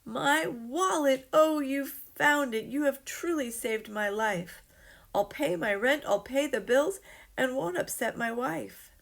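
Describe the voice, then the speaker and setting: A woman's voice: sits high, expressive, slow, creaky, voice pushed up, full character voice. A woman voicing an emotional old man in a children's storybook, speaking in urgent exclamations. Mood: overjoyed, relieved.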